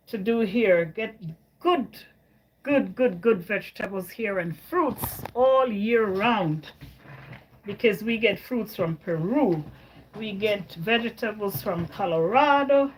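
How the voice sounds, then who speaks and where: Slow-talking chatty woman from Jamaica. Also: medium pitch, low-quality recording. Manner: chatty, repeats her words, answers viewer comments. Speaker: livestream host. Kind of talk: casual livestream chat with viewers.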